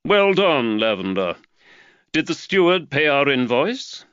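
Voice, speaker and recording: Guy doing a preachy old-school magistrate, pitched up, all animated, dull dry sound. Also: unhurried, smooth, no room sound. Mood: brisk. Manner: lively, preachy, warms to his theme. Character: gruff middle-aged male London magistrate, earnest.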